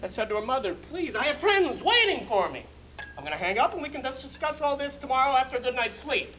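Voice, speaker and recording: Frantic, put-upon groom male actor for a stage comedy, bright forceful voice, very animated, emphatic. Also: very high voice, quick pace, slight background noise, full character voice, poor audio. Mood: frazzled. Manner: agitated, emphatic, swooping delivery. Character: frantic, put-upon bridegroom, exasperated and neurotic. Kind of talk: theatrical stage monologue.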